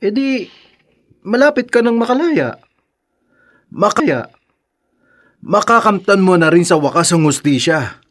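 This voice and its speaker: An actor playing a loyal, encouraging friend of a prisoner, earnest and reassuring, in a radio drama. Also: male voice, high voice, very animated, measured pace, long pauses, a bit bright, exaggerated voice. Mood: encouraging, urgent.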